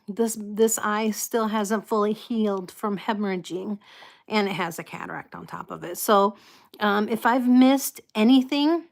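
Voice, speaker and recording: Slow-talking American woman with slightly impeded speech. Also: a little high. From United States. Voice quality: slightly impeded articulation from a false tooth and past stroke. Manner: halting, unhurried, greeting viewers by name. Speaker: live stream host. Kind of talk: casual live chat with viewers.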